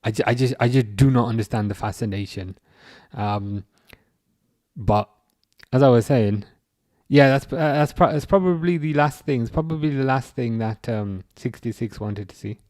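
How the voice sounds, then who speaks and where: A young English man hosts a football livestream from home, reading out viewer messages and rambling with plenty of false starts. His voice sits at a middle pitch. It comes through dry and close on the mic. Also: moderate pace, a little mellow, no room sound. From England. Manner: rambling, repeats words and restarts, reads chat aloud. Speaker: livestream host. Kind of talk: casual football livestream chat.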